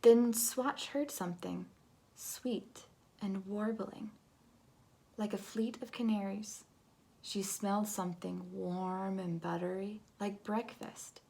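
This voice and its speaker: A woman reading a picture book aloud to children in an expressive, dramatic way, moving between hush and big swells. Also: medium pitch, takes it slowly, vocal fry. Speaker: storybook reader.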